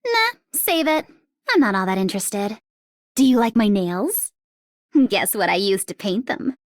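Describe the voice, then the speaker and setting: A woman's voice: mid-range voice, sing-song, unhurried, no echo, studio quality. A woman voicing a cocky, vengeful, mischievous mercenary captain in a video game, with a taunting, sardonic and theatrical delivery. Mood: playful, trigger-happy. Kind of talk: English video game character voice lines.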